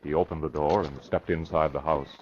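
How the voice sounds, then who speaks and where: Deep-voiced old-time radio narrator, man. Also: steady tone, a little fast, over music. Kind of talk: dramatic scripted narration, 1940s radio. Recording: dull lo-fi old radio recording.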